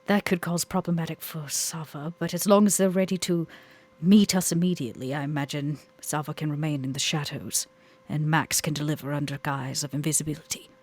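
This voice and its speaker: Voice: medium pitch, fairly even intonation, brisk, lightly acted. A player voicing an elegant, commanding vampire lady with a theatrical, formal manner in a tabletop game. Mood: planning, composed.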